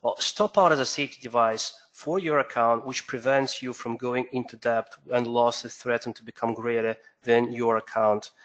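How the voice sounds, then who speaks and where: A man's voice: mid-range voice, steady tone, moderate pace, hardly any pauses, poor audio. A man presenting a trading webinar, explaining steadily, with occasional fillers and self-corrections. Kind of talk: instructional webinar talk.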